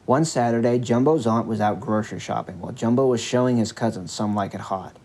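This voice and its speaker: A man's voice: medium pitch, a little fast, darkish tone. A man reading a scripted story as its narrator, with music behind his voice.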